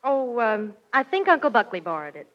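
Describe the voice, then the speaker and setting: An actress playing a patient but scolding wife, soothing and chiding, in a 1940s radio sitcom. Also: female voice, fairly high pitch, fairly quick. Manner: soothing yet chiding, expressive. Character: patient, scolding wife defending her relatives. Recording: noisy, dull vintage radio recording.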